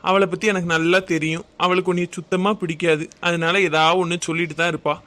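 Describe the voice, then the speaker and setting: A performer playing a patient young husband who gently coaxes his wife. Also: fairly high pitch, little pitch movement, quick pace. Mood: coaxing. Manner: gentle, coaxing, affectionate.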